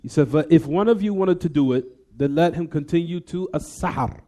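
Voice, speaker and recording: A man teaching a hadith class. He keeps asking the room questions and answering them himself, and he recites Arabic quickly between plain explanations. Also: on the high side, warm tone. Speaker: Islamic teacher.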